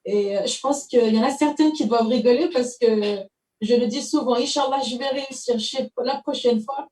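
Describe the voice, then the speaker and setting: A woman's voice: a little high, brisk, mellow. A woman hosting an interview, speaking in a chatty, hesitant way full of fillers. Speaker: TV show host. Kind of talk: casual interview conversation. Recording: roomy, distant mic.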